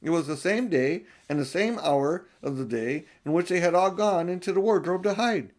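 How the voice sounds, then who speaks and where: A man's voice: high-pitched, moderate pace, darkish tone. An older man narrating a children's audiobook as its reader. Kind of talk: storybook narration.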